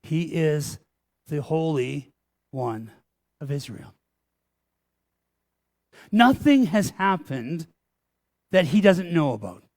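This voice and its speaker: Man, pastor, sermon, fairly high voice, very slow, earnest, emphatic. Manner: earnest, emphatic, repetitive phrasing.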